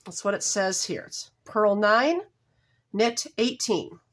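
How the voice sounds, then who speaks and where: A woman with a slightly hoarse voice from a cold, hosting a casual step-by-step knitting tutorial from home in a homey, apologetic way, often pausing to correct herself. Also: mid-range voice, lively intonation, a bit bright.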